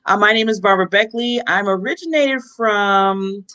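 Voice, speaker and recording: A woman's voice: medium pitch, moderate pace, bright, forceful tone, smooth, dull audio. An American woman guest on an online panel, joking and laughing as she talks. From United States. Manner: jokey, laughing. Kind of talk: casual chat.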